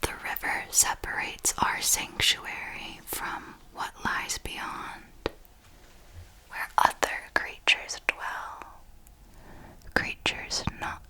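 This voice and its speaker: A woman playing an elegant vampire mentor in a whispered ASMR roleplay, formal and deliberate, possessive, teasingly menacing and protective, with a breathy delivery. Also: bright, forceful tone, full character voice, poor audio. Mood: protective warning. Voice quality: breathy, mostly unvoiced whisper. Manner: slow, deliberate, formal, with measured pauses.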